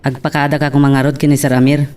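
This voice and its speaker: A man's voice: mid-range voice, little pitch movement, fast talker, darkish tone, smooth, recorded from a distance, lightly acted. A man playing a respectful, cautious employee in an audio drama, deferential and questioning. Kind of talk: Ilocano radio-style drama acting.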